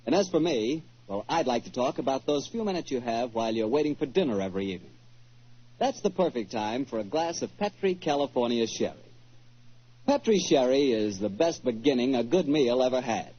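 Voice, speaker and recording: Animated, husky American radio announcer man. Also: medium pitch. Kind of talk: 1940s radio announcing and sponsor pitch. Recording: muffled 1940s radio recording with music.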